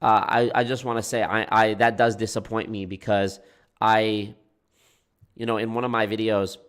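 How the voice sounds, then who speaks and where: A man's voice: lowish voice, level tone, moderate pace, fairly bright tone, smooth. Congested, nasal-sounding man livestreaming. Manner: rambling, self-correcting, frequent restarts. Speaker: anti-MLM YouTube creator. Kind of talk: casual livestream talk to chat.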